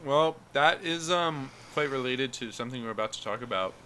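A man's voice: medium pitch, animated, brisk, sharp tone, clear, resonant tone, low-quality recording. A man co-hosting a podcast in casual chat, with a nasal voice. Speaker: podcast co-host.

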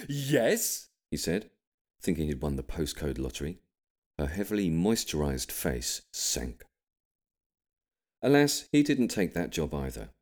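A British man reading an audiobook, delivering scripted comic narration in an expressive storytelling manner. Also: a bass voice, a little slow, pauses often. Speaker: audiobook reader.

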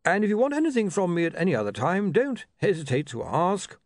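An earnest young scribe from a comic storybook , voiced by a man. He is polite and respectfully questioning, with a raised, animated voice. The recording is close and dry. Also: high-pitched, a little fast, no room sound, voice pushed up.

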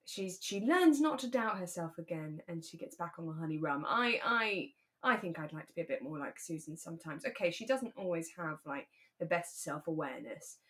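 A British woman chatting casually as a podcast co-host, in a talkative, easygoing way that is quick to laugh. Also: on the low side, expressive, fairly bright tone. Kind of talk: relaxed casual chat about a radio drama.

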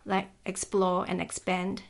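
A woman's voice: on the low side, monotone, unhurried, vocal fry. A woman, a climbing guide chatting as a guest in an office, easygoing and full of "like" fillers. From Singapore. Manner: easygoing, peppers speech with "like", laughs often. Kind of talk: casual interview chat.

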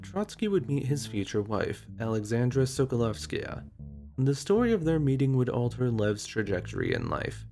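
A man narrating a scripted history explainer, mixing steady exposition with wry conversational asides. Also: mid-range voice.

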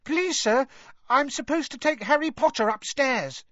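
A very high, squeaky little schoolboy from a storybook. He is eager, polite and nervous, in a dry, slightly muffled recording. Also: measured pace, pushed and bright, no echo, exaggerated voice. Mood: nervous, pleading. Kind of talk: audiobook character voice.

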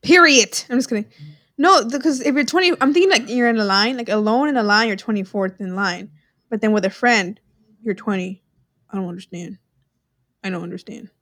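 A woman's voice: mid-range voice, brisk, dry recording, studio quality. A woman co-hosting a casual podcast from her parents' house, joking and exclaiming as she works through riddles. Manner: animated, exclaims, jokes around. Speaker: podcast co-host. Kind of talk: casual chat solving riddles.

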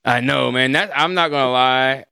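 A man hosting a livestream show, chatting casually with a co-host and reading out donations. He swears a lot, laughs often and trails off quietly at the end of sentences. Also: mid-range voice, moderate pace, creaky, smooth, no room sound, clean studio sound. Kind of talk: casual chat.

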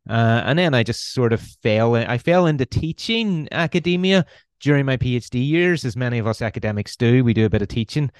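A man's voice: mid-range voice, expressive, fairly quick, clean tone, dry recording, studio quality. A man from Northern Ireland with a Belfast accent, explaining ideas as an interview guest with frequent hesitations and restarts. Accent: Northern Irish, Belfast. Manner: hesitant, many ums and restarts. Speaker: guest philosopher. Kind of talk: conversational explanation.

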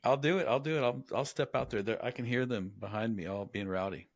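A man hosting a casual interview podcast, speaking haltingly and often repeating words as he works out a thought. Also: medium pitch, no room sound, studio quality. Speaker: podcast host.

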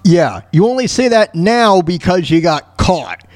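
A man hosting a talk show, delivering an opinionated solo rant in a halting, repetitive, emphatic way. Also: sits high, big pitch swings. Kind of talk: opinionated solo rant reacting to news clips.

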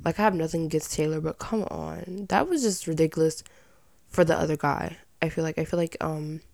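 Young woman, slightly low pitch, light rasp, fry on sentence endings, rambling casual commentary, opinionated, dry close sound. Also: fairly quick, darkish tone, no echo. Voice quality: slightly raspy with vocal fry at sentence ends. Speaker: podcast host. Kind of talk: casual chatty solo commentary.

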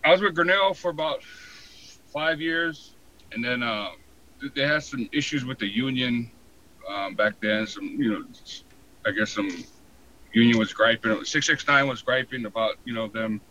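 Bright-voiced American man, halting casual storyteller. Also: mid-range voice, muffled recording, slight background noise. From San Diego, born in Hawaii. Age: 40s. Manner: halting, colloquial, frequent 'you know'. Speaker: podcast guest, fire protection inspector.

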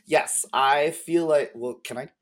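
A man's voice: on the high side, measured pace, a bit bright. A man talking as a podcast guest in a casual, slangy, rambling conversational style. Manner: informal, slangy, hedging and rambling. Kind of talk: casual conversational chat about game lore.

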